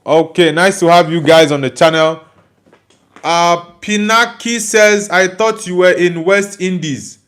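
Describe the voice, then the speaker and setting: A young man from Nigeria hosts a casual livestream, reading viewers' comments aloud and answering them one by one. His voice sits a little high for a man. He talks in a relaxed, friendly way and often stops to ask for likes and subscribes. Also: unhurried. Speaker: livestream host reading chat. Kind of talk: casual live chat.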